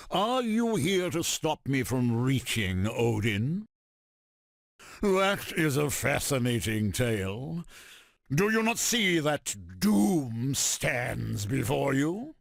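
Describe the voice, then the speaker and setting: An actor playing an arrogant armored supervillain tyrant in a video game, declaiming in a pompous, commanding, gravelly voice. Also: male voice, mid-range voice, no echo, studio quality, full character voice. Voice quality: gravelly, rasping. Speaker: video game villain character. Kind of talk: theatrical video game villain voice clips.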